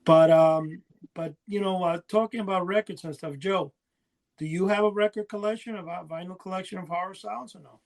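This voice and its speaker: A man's voice: sits high, steady tone, a little fast. A man hosting a casual podcast chat, telling rambling, enthusiastic stories. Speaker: podcast host.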